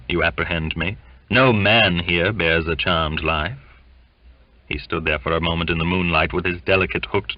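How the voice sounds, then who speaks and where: A man with a deep voice reads literary fiction as an audiobook narrator. His storytelling is measured and expressive. The sound is very dry, with a dull, lo-fi finish. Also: dry recording.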